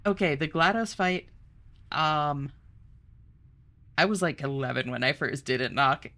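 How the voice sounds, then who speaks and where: A young woman streamer chatting casually, reading chat aloud, bubbly and quick to laugh. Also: sits low, bright, forceful tone.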